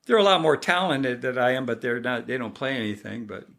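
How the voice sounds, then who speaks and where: A man's voice: mid-range voice, lively intonation, fairly quick, creaky, fairly bright tone. A man in his sixties with an American accent, telling his story casually as an interview guest, modest and given to self-deprecating jokes. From born in Germany, grew up in southern Michigan, USA. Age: 60s.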